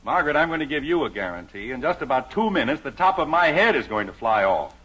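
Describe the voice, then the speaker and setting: A man's voice: mid-range voice, big pitch swings, fast talker, fairly bright tone, breathy edge. An American actor playing a middle-aged, exasperated father with dry sarcasm in a 1950s radio sitcom. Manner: dry sarcasm, mounting impatience. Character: exasperated, wry suburban husband and father. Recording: muffled, noisy old radio recording.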